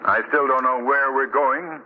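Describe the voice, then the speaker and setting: A man's voice: mid-range voice, fairly even intonation, measured pace, close to an everyday voice. An actor playing an urbane, wealthy young man, wry and impatient, in 1940s radio style. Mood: wry irritation. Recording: dull, noisy 1940 radio recording.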